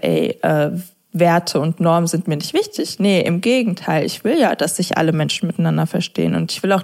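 A woman's voice: on the low side, darkish tone. A young woman in a casual discussion, explaining her view in a reasoned, conversational way.

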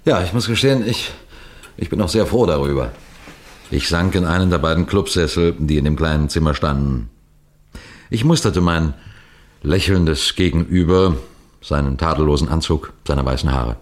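A man playing a wary police detective who narrates his mission in deliberate, suspenseful 1960s radio crime drama style. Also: a bass voice. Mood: polite, guarded. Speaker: narrator and lead character. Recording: noisy vintage 1960s radio recording.

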